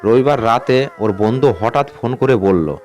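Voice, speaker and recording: A man narrating a scripted ghost story in a measured, suspenseful way. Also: medium pitch, a little mellow, a little hiss or hum. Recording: music bed under the voice. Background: background music.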